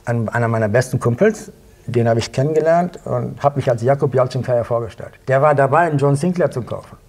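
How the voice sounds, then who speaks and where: Husky middle-aged man, casual and self-assured. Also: mid-range voice, quick pace, darkish tone. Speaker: interview guest, artist. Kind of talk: casual anecdotal chat. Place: indoor studio set, seated at a table.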